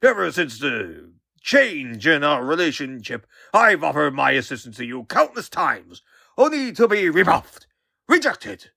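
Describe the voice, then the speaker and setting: Pompous older male press baron, comic dub, theatrical bluster, forceful gritty delivery, dry close sound. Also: a little high, big pitch swings, unhurried, vocal fry, no room sound, full character voice. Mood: indignant. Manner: bombastic, theatrical, grandiloquent. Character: pompous, blustering older male newspaperman turned podcast host. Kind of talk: comic book dub acting.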